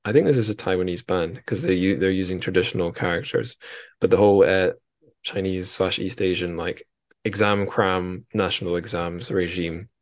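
A man's voice: sits low, fairly even intonation, moderate pace, dry recording, clean studio sound. A man hosting a podcast in casual conversation, informal and a little self-deprecating. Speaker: podcast host.

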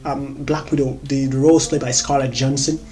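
Excitable Nigerian-accented vlogger over faint music. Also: mid-range voice, quick pace. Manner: excitable, chatty, laughs often, repeats words. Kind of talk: casual movie recommendations.

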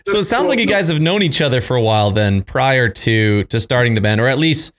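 A thirty-year-old man hosts the interview with friendly enthusiasm, hedging his questions with lots of "like" and "you know." His voice sits at a medium male pitch and sounds plain and natural. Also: animated, a little fast, a bit bright. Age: 30s. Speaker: interviewer, podcast host. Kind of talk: casual interview.

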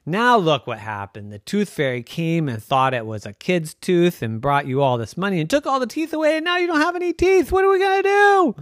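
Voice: medium pitch, very animated, brisk, hardly any pauses, dry recording, studio quality, pitched above the performer's own voice, lightly acted. A parent storyteller voicing cheeky young children in a teasing, know-it-all manner. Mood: scolding.

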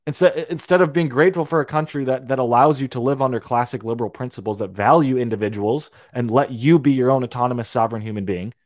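A man's voice: medium pitch, fast, few pauses, darkish tone, no echo. Young man, podcast host, heated monologue with repeats and profanity; dry, close recording. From Romania. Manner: heated, ranting, repeats and restarts words, swears freely. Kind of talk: opinionated monologue.

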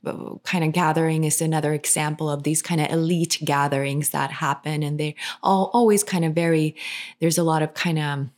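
Lady cohost, really low voice, rambles w lots of ums and restarts. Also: steady tone, brisk, a little mellow. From Finland. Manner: rambling, many fillers and restarts. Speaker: podcast co-host. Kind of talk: casual chat.

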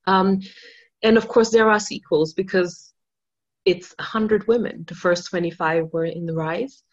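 A woman's voice: lowish voice, highs cut off. A woman from Austria, not a native English speaker, telling an interviewer about her writing with eager, restart-filled talk. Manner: enthusiastic storytelling with many restarts and fillers. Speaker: author, interview guest.

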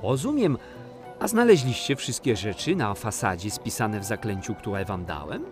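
A man's voice: fairly low pitch, very animated, moderate pace, echoey room, in a large hall, faint music behind. A man playing a kindly cathedral guide in a children's audio play, patiently explaining things to young visitors. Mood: welcoming.

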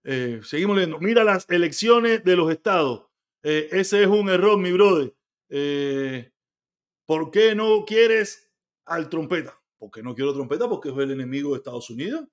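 A man's voice: a little high, animated, moderate pace. A Cuban man hosting a live stream, reading viewer comments aloud and answering them bluntly, with frequent swearing. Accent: Cuban Spanish. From Cuba. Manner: blunt, argumentative, swears freely. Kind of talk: casual live stream chat.

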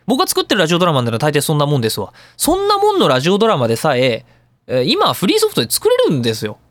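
A man's voice: a little high, wildly swooping pitch, very fast, fairly bright tone, dry recording, clean studio sound. A man hosting a radio talk show, explaining things in a chatty, opinionated, colloquial way. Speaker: radio show host and audio engineer. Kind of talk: casual talk radio monologue explaining sound editing.

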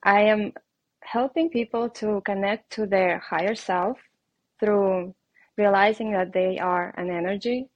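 A woman with a noticeable Russian accent answers interview questions, pausing often with ums and small laughs. Her voice sits at an ordinary pitch for a woman. The recording is close and dry with no room sound. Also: fairly even intonation, dry recording, muffled recording. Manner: hesitant, many ums, laughs lightly. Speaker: guest coach.

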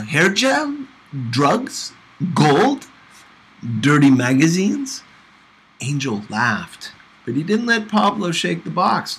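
A man narrates a novel as an audiobook reader, with a lively, swooping pitch. He reads expressively, as if for a class, over some faint background noise. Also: low-quality recording.

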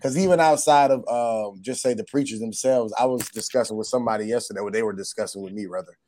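A man hosting a casual podcast chat, his voice hoarse, often saying "gotcha" and "mm-hmm" as he talks. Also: lowish voice, quick pace, darkish tone. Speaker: podcast host.

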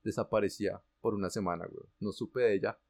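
A man's voice: lowish voice, fairly even intonation, fast, a little mellow. A man with a Colombian accent, animatedly telling a personal story in casual, slangy chat. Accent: Colombian Spanish. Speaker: guest telling his story.

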